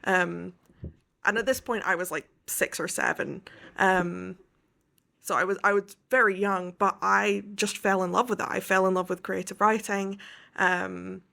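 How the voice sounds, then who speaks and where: Young woman with a gravelly voice. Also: medium pitch, steady tone, unhurried, pushed and bright. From west coast of Scotland. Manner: thoughtful, detailed storytelling. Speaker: guest, author. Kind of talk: interview.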